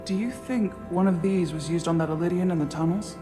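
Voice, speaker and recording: An actress voicing a wary alien companion in a video game, hesitant and questioning. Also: female voice, fairly low pitch, brisk, natural voice. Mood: curious. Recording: loud game music underneath. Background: game soundtrack.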